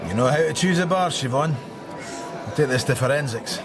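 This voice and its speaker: Sardonic police inspector, animated pitch. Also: male voice, brisk, over music. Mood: dry, needling. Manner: gruff, dry, sardonic. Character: weary, sardonic middle-aged male police inspector. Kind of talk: radio drama acting.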